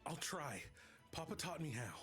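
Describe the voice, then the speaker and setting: A man's voice: fairly high pitch, very animated, moderate pace, fairly bright tone, husky, soft background music, exaggerated voice. A man playing a naive, earnest grown man raised as an elf, hesitant and childlike, in a live table read. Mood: timid, unsure.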